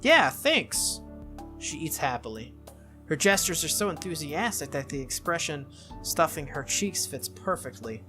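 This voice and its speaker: A man's voice: on the high side, expressive, moderate pace, over music. A man narrating a visual novel aloud as a gaming video host, in a read-through style.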